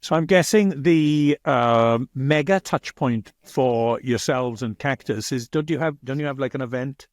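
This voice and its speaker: A middle-aged man from Wales with a strong Welsh accent, hosting a podcast interview in a rambling, halting way with many "uh"s. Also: mid-range voice, a little mellow, dry recording, clean studio sound. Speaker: podcast host. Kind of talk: casual interview conversation.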